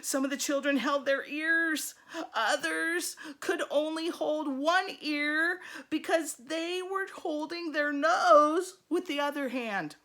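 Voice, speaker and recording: A woman teacher reading a children's storybook aloud, expressively and with deliberate dramatic emphasis. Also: high voice, bright, forceful tone.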